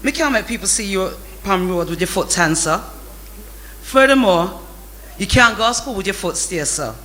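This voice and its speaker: A stern Jamaican father , acted out by a male storyteller, scolds in indignant Patois. The voice is raised high and sharp, hammering each point home in storybook fashion. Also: animated, normal speed. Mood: scolding.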